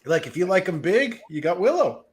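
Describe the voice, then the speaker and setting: A man's voice: high-pitched, very animated, brisk, a little hiss or hum. A middle-aged man giving casual, jokey wrestling watch-party commentary, swearing freely.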